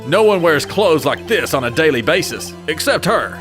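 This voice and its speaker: A man's voice: fairly high pitch, sing-song, moderate pace, fairly bright tone. A man voicing an earnest young male defense attorney who exclaims and stammers when caught off guard. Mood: determined. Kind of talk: fan dub game voice acting. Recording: with background music.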